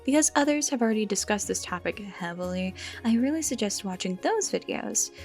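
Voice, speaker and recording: A woman's voice: mid-range voice, animated, brisk, darkish tone. Woman narrating dramatically over music. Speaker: video essay host. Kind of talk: scripted commentary on a horror series. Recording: music behind the voice.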